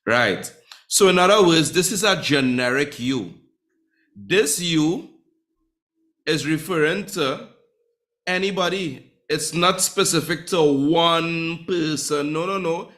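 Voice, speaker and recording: A man's voice: a little high, takes it slowly, fairly bright tone. A man teaching a live online Spanish class, chatty and teasing with his students.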